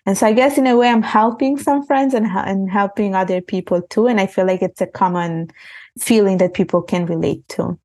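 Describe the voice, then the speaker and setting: A woman's voice: medium pitch, normal speed, darkish tone. A young woman from Italy, a podcast guest talking earnestly and reflectively, often restarting her sentences. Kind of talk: casual interview talk.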